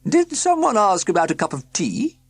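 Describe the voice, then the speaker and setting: A chattering, fussy eccentric aunt with a shrill voice pitched far up, as a husky-voiced reader puts her on, swinging wildly in tone. She grumbles and rattles on like an audiobook character in a children's story. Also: wildly swooping pitch, normal speed, dry recording, soft background music, heavily acted. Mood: grumbling chatter. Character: cranky eccentric aunt, middle-aged woman, grumbling.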